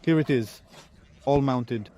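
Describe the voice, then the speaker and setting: A man's voice: medium pitch, slow, mellow, a little hiss or hum. A man giving a hands-on product review and demonstration, explaining plainly and step by step as the presenter. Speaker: presenter reviewing radio equipment.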